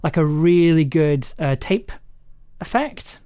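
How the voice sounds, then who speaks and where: A person casually talking through audio editing work on a livestream, halting and trailing off while thinking aloud. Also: medium pitch, lively intonation, warm tone, clean tone, dry recording, studio quality. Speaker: audio drama maker streaming the editing process.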